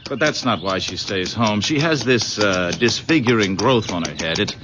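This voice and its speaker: A man's voice: fairly low pitch, expressive, moderate pace, music in the background, lightly acted. An actor playing an eager, persuasive young man in a radio drama, insistent and effusive. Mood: confiding, animated. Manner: insistent, effusive, repeats himself. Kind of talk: 1970s radio drama acting. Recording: muffled, noisy old radio broadcast recording.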